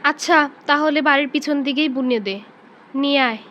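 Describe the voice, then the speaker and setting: A woman's voice: high voice, a little fast, a bit bright, muffled recording. A woman voicing a poor, caring, hard-working village mother in a children's cartoon. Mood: weary, caring.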